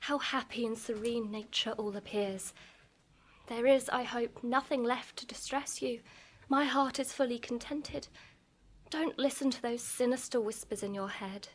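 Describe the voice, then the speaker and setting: An actress playing a devoted, hopeful young bride in a live radio drama, tender and earnest. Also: female voice, fairly high pitch, measured pace. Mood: soothing. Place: live on a theatre stage. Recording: noisy live theatre stage recording.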